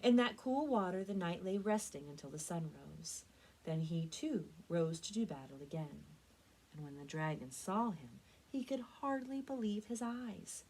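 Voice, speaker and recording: A woman reads a story aloud expressively, with deliberate, dramatic phrasing and strong emphasis, like a librarian at story time. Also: on the low side, expressive, darkish tone, husky, low-quality recording. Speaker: librarian reading stories for a library program.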